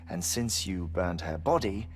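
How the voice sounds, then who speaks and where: An actor playing an earnest, secretive scientist in a video game, speaking formally and deliberately with dramatic pauses. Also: male voice, sits low. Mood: pensive, measured. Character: earnest, theorizing male scientist, measured and secretive. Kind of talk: cinematic video game dialogue.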